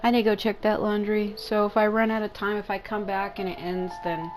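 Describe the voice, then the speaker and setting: A woman's voice: mid-range voice, level tone, a little fast, rough recording. A woman giving casual, rambling gameplay commentary in an informal, repetitive way, with offhand swearing. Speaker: gamer hosting a let's play video. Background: game music.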